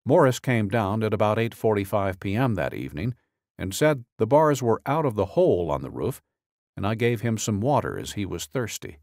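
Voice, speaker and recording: Low-voiced man narrating an audiobook. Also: big pitch swings, normal speed, a little mellow, no room sound, studio quality. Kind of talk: scripted narration, reading a true-crime statement.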